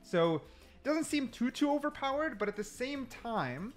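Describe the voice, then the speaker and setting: A man hosting gaming videos with casual, animated gameplay commentary, often repeating phrases for emphasis. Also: extremely high pitch, measured pace, faint music behind.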